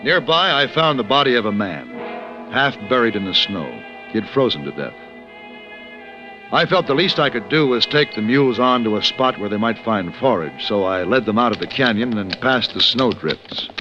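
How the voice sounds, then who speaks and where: A man acting a refined, urbane gunfighter in a 1950s radio western, speaking in a dry, measured, articulate way. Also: on the low side, big pitch swings, dull audio. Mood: somber narrating. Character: refined, urbane adult male gunfighter of the Old West. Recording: band-limited vintage radio recording with music.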